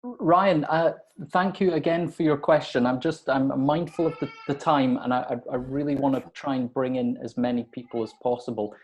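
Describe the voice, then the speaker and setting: A man's voice: mid-range voice, fairly even intonation, normal speed, hardly any pauses, dull audio. A man moderating an online webinar over a video call, hesitant and repeating his words as he calls on people.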